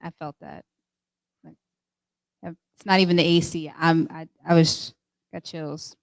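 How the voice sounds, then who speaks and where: Slow, chatty woman host. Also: sits low, fairly even intonation, creaky. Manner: warm, chatty, with fillers. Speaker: host of the discussion. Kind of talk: casual chat.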